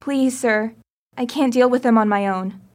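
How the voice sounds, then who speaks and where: An earnest teenage girl pleads in a higher voice, as a woman plays her. She comes across as a desperate survivor in an audio drama. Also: moderate pace, darkish tone, lightly acted. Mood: pleading.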